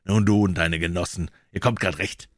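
A man voicing a gloating, brutish young villain who speaks in a mocking, slow way. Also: low-pitched, steady tone, no room sound, studio quality, close to an everyday voice.

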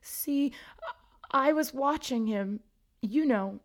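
A woman voicing a self-pitying teenage girl ghost who sobs and wails in a high, shrill voice. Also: lively intonation, measured pace, a little mellow. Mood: mournful. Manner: wailing, sobbing, high and shrill.

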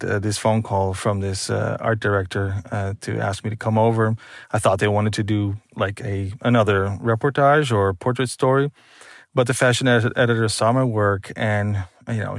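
A man's voice: on the low side, vocal fry, no echo, clean studio sound. A man from the Netherlands, a photographer, answering interview questions in a hesitant way with many fillers and restarts.

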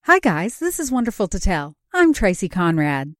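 Woman host, upbeat scripted intro; low-leaning, animated pitch, dry. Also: normal speed, no room sound, faint music behind. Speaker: podcast host.

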